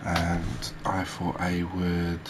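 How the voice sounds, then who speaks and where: A man hosting a gaming video, giving soft ASMR commentary in a halting, hesitant manner. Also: deep voice. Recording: steady background noise.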